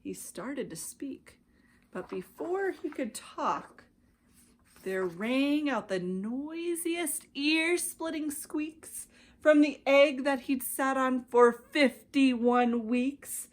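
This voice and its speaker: An American woman reading a rhyming children's storybook aloud as an expressive narrator. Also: high-pitched, very slow. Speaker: children's ministry director reading stories.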